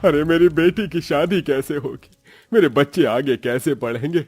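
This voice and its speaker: A man's voice: a little high, normal speed, a little mellow, no echo, studio quality, natural voice. Man acting a careworn, poor village farmer. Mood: despairing. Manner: lamenting, earnest. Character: poor, kindly, careworn middle-aged farmer and father.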